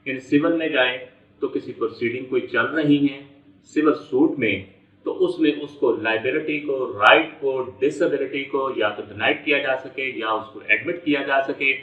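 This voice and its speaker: A man's voice: medium pitch, normal speed. Echoey, distant Pakistani man lecturing on law. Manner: repetitive, rephrasing step by step. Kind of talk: explanatory lecture, mixing in English legal terms. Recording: echoey room, distant dull mic.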